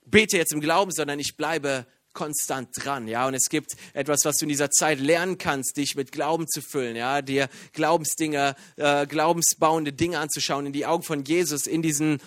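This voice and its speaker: A man from Iran preaching a sermon in a lively, joking way, with many fillers and restarts. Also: a little high, normal speed, hardly any pauses, dry recording, clean studio sound. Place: church.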